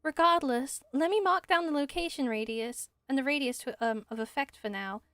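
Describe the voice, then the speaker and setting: A woman's voice: high voice, moderate pace, a bit bright, dry recording. A woman voicing an earnest, dutiful young female forest ranger in a fantasy game, explaining things carefully. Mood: cautious, worried.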